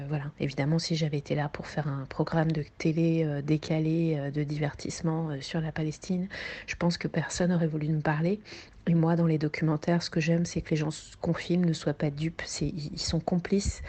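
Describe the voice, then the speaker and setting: A woman with a low voice talks about her documentary work. She speaks thoughtfully and pauses often, filling the gaps with hesitations, like someone recalling things in an interview. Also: warm tone. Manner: thoughtful, hesitant with many fillers. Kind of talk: recorded interview answer.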